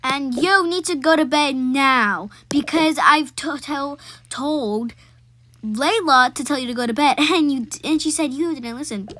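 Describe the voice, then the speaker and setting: A woman's voice: high-pitched, a little slow, pushed and bright. A woman playing an exasperated, bossy mother who scolds emphatically in an amateur bedtime roleplay. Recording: noisy home recording with clicks.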